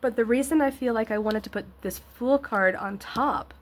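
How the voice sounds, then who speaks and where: A woman's voice: fairly high pitch, normal speed. A woman giving a casual, encouraging tarot reading, rambling and searching for words, with a slightly scratchy voice and a congested nose. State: congested nose, getting over an illness. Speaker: tarot reader and host.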